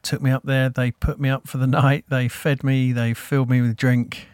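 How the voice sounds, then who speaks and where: Rambling podcast host, dry sound. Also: male voice, mid-range voice, moderate pace, dry recording. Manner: relaxed, rambling, many fillers. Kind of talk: casual interview chat.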